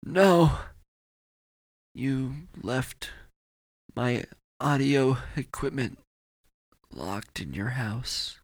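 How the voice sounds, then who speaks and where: A man playing a dazed, wounded friend in a comedy sketch, speaking in a halting, plaintive way. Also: a little high, lively intonation. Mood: weak, pleading. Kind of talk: scripted comedy podcast sketch.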